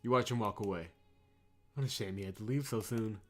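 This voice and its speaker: A man hosting a let's play, reading game narration aloud and breaking into excitable commentary. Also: lowish voice, fairly quick, faint music behind. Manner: excitable, exclamatory reactions.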